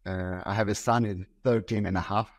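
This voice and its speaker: A man in his forties with an Israeli accent, a native Hebrew speaker, talking as an interview guest in a halting, sincere way. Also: lowish voice, steady tone, no echo, studio quality. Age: 40s. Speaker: podcast guest, psychotherapist. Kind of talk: conversational interview. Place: small office, video call.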